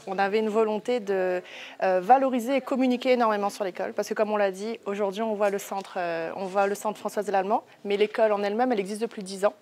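A woman's voice: medium pitch, fairly even intonation, fast, darkish tone. A young woman in her twenties answering informally in a press interview as the president of a school. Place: indoor room at an arts school.

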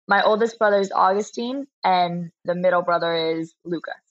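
An 18-year-old woman from Florida answering interview questions, soft-spoken and brief, with frequent small laughs. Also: on the low side, normal speed, no room sound, muffled recording. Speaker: guest, polo player.

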